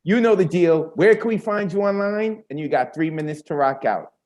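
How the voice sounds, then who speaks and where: A man with a Bronx accent runs an online open mic over a video call. His voice sits a little high, and he talks in a hyped, slangy, friendly way. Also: normal speed, darkish tone. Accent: New York, Bronx.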